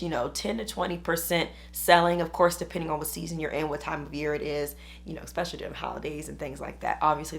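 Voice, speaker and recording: A hoarse woman business coach, chatting upbeat to camera. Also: on the low side. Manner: chatty, upbeat, uses "y'all". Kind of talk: casual talk to camera.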